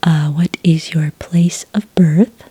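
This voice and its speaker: A woman doing a soft-spoken ASMR roleplay as a polite, patient clerk, whispering gently close to the microphone. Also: low voice, fairly even intonation. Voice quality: whispery, breathy. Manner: gentle, polite, unhurried customer-service patter. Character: friendly, patient female registration clerk at a hunting agency.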